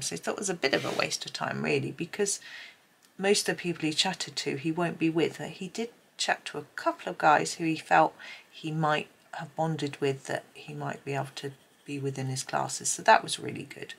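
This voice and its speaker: Low, creaky-voiced British woman musing. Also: fairly bright tone. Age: middle-aged. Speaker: colouring tutorial host. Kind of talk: casual rambling chat while colouring. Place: indoors at a desk.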